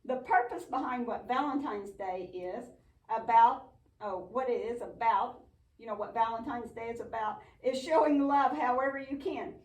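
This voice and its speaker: Woman acting as bossy, earnest puppet best friend, explanatory, high voice, church puppet show, echoey room. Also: normal speed, close to an everyday voice. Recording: echoey room, distant mic.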